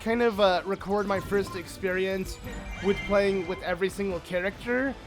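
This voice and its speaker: A man who is sick, with a slightly hoarse voice, giving excitable, rambling gameplay commentary full of fillers as a let's play host. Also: high-pitched, moderate pace, over music.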